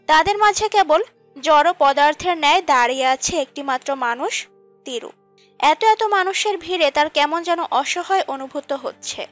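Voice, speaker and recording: A woman's voice: sits high, a bit bright. A woman reading scripted narration for a romantic audio story. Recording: music under the voice. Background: background music.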